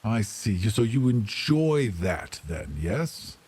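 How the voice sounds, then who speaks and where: Casting: male player as scheming courtly priest, husky, unhurried, wildly swooping persuasive delivery for a fantasy game. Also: lowish voice, very animated, darkish tone, voice pushed down, heavily acted. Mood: sly persuasion. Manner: persuasive, theatrical, formal. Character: sly, courtly male wandering priest, smooth-talking bargainer.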